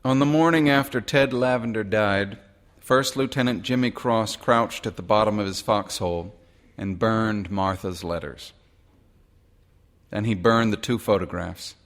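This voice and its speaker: A man narrating a literary short story in a measured, dramatic way. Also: on the low side. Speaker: audiobook-style short story reader.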